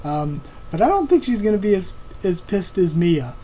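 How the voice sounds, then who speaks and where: A man hosting a casual online Q&A, talking informally and haltingly as he thinks aloud, his voice a little strained and tiring. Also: high voice, very animated, mellow, slight background noise. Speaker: online video host. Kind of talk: casual Q&A commentary on anime and comics.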